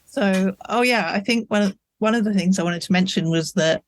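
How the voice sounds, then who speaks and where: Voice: medium pitch, moderate pace, a little hiss or hum. An author, a guest talking in a thoughtful, reflective way with wry asides. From United Kingdom. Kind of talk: casual interview conversation.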